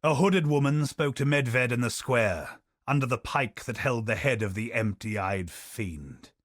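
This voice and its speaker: An actor voicing a solemn male chronicler in a game, telling ancient history in a grave, measured way. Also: medium pitch, a bit bright, dry recording, clean studio sound. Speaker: game character narrator. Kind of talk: scripted lore narration.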